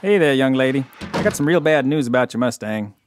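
An actor playing a blunt, folksy car mechanic with a drawn-out delivery in an audio drama. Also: male voice, medium pitch, lively intonation, a little hiss or hum, lightly acted. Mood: blunt, regretful. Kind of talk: family audio drama acting.

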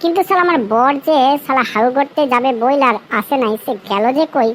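A woman's voice: high-pitched, lively intonation, fairly quick, noisy background. A woman playing a flirtatious, impatient married woman in a comedy cartoon, bold and earthy in manner. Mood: longing, frustrated. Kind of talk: comic cartoon dialogue.

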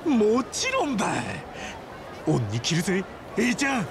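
An actor playing a friendly, rough-spoken, big-hearted man in a video game cutscene, with casual, chummy street slang. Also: high-pitched, wildly swooping pitch, a little slow, noisy background, full character voice. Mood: grateful, chummy.

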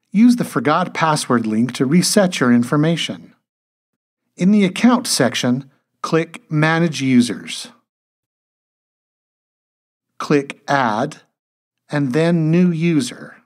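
A man reading scripted instructional narration as a tutorial narrator, in a measured, step-by-step way. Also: on the high side, expressive.

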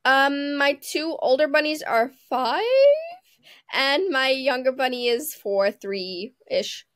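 A woman's voice: sits high, slow, a bit bright, no echo, studio quality. A teenage girl with a mixed international English accent, chatting as a guest over a video call and laughing often. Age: teens. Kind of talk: casual interview. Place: home room.

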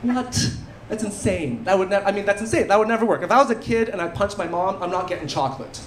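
Man in his thirties, stand-up comic, high lively voice, handheld mic, live club audience noise. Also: fast. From Canada. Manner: animated, punchy delivery with dry asides. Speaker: stand-up comedian. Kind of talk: stand-up set. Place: theatre stage before a live audience. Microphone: handheld stage mic. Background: audience laughter.

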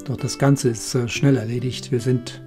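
A man's voice: medium pitch, steady tone, moderate pace, dark tone. Middle-aged man narrating over music. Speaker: skipper narrating his sailing trip. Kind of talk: travel narration, partly scripted, partly spontaneous recollection. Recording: music behind the voice.

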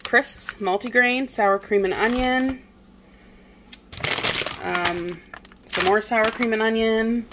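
A woman talks through her groceries in a slow, easygoing way, pausing with plenty of "um"s. She sounds relaxed and chatty, recorded on a phone in her home kitchen with a faint hiss behind her. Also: fairly high pitch. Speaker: vlogger. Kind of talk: casual grocery haul narration.

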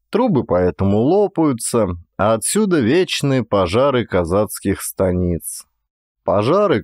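A man narrates classic prose like a stage actor, in a measured, expressive way. His mid-pitched voice swings widely in intonation. The sound is dry and close, with no room echo. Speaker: actor reading an audiobook. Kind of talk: dramatic literary audiobook narration.

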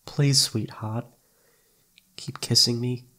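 A man voicing a shy, adoring young boyfriend in an ASMR roleplay, with soft, breathy, pleading, hesitant speech. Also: mid-range voice, fairly even intonation, takes it slowly. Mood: tender, pleading.

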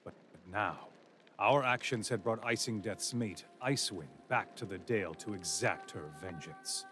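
A man giving grave, measured scripted narration for a fantasy game. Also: on the low side, fairly bright tone, faint music behind.

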